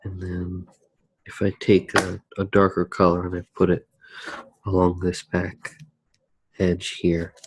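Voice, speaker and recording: A soft-spoken man narrating a drawing step by step in a hushed, unhurried ASMR style. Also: deep voice, monotone, noisy background.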